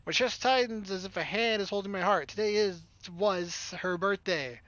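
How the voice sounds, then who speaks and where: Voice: mid-range voice, moderate pace, a bit bright, no room sound. A game streamer reading a story aloud as narration, with casual, wry asides. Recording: background music behind the voice. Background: game music.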